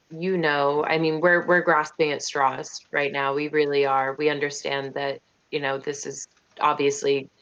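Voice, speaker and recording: A woman's voice: deep voice, fairly bright tone. A woman playing a tabletop game, speaking earnestly and pleadingly in character, with fillers. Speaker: player. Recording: dull, slightly lo-fi online audio.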